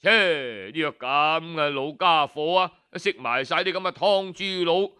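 A man's voice: on the high side, a little slow, pushed and bright, clean tone, voice pushed down. A man with a Cantonese accent voicing haughty, indignant palace guards in a folk tale, grumbling and brash. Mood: scornful.

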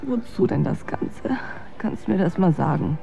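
A dull radio-drama recording with faint music behind it. a sardonic, worn-out young woman with a dark, creaky voice, who answers in blunt, cynical retorts and sounds close to giving up. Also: on the low side, lively intonation, moderate pace. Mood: exhausted, despairing. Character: weary, sardonic, despairing young woman in a dance marathon. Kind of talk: German radio drama acting.

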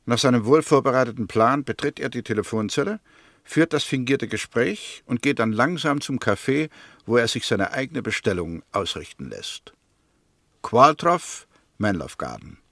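A man's voice: medium pitch, expressive, moderate pace, a bit bright, no room sound, clean studio sound. A man acting a stern, sceptical prosecuting lawyer in a 1960s German radio drama, formal and challenging. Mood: sceptical, narrating. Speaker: actor in a radio play.

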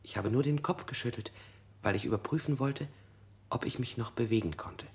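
A man's voice: medium pitch, a little fast, gravelly, lightly acted. An actor playing a frightened man under interrogation in a radio drama, who keeps correcting himself and sometimes drops to a whisper. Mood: confused.

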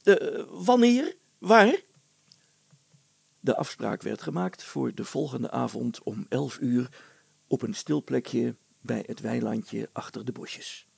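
Man, storybook narrator, heavy gravel, medium pitch, expressive reading. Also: measured pace, dry recording.

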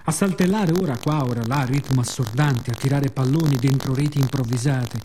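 A man voicing the brooding, mellow-voiced weary sea. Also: mid-range voice, natural voice. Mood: wry. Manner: brooding, theatrical, with deliberate repetitions. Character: the male sea, weary, bitter yet tender narrator. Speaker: actor performing own monologue. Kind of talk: dramatic radio theatre monologue. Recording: clear background noise and ambience.

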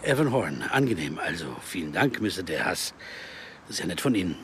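An actor playing a wry, puzzled investigator who narrates a radio crime drama in a terse, musing way. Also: male voice, low voice, sing-song, moderate pace, a bit bright, slight background noise. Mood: polite. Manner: musing, terse, asking himself questions.

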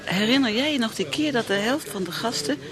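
A woman's voice: on the high side, lively intonation, brisk, music in the background, lightly acted. A woman acting in a radio play as a weary, disillusioned middle-aged wife with a sardonic manner. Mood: brightening.

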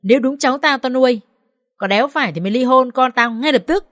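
A woman's voice: sits high, quick pace, fairly bright tone, pitched above the performer's own voice. A woman reading a story aloud, voicing a harsh, abusive middle-aged mother-in-law who speaks crudely and shrilly. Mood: contemptuous.

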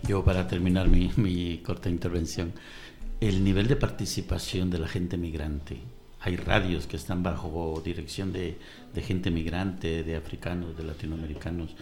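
A man with a Guatemalan accent, a community radio co-host, politely asking a guest questions in a slightly halting way. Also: low voice, level tone, a little mellow.

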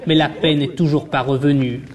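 A man narrating a scripted news report in an even, measured way. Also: on the high side.

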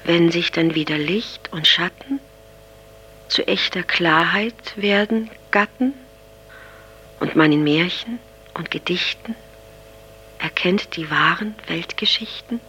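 An actress playing a dreamy, troubled woman who recites poetry haltingly, phrase by phrase, on an old voice recording. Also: on the low side, pushed and bright, poor audio. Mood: dreamy, solemn. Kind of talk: slow poetry recitation in an audio drama. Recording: old voice tape with faint noise.

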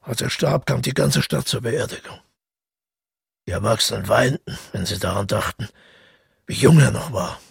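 An actor playing a reclusive, brooding countryman who muses haltingly over his memories in a radio play, with a gravelly, husky voice. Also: mid-range voice, expressive, no room sound, clean studio sound, full character voice. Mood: somber remembering. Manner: musing, halting monologue.

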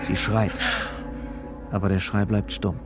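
A man's voice: fairly low pitch, level tone, unhurried, natural voice. A man giving a grim, intense scripted narration of a crime story in a German radio drama. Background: music.

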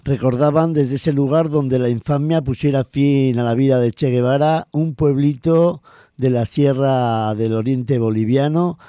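A man hosting a radio program, reading scripted narration in a measured way. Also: mid-range voice, steady tone, dark tone. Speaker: radio host. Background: music.

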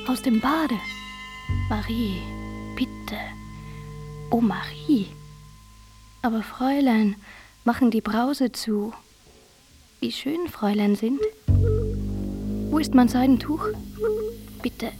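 A woman's voice: fairly high pitch, takes it slowly, long pauses. An actress playing an airy, contented society lady musing lightly on trifles in a radio play. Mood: airy, languid. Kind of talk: radio play inner monologue. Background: instrumental music.

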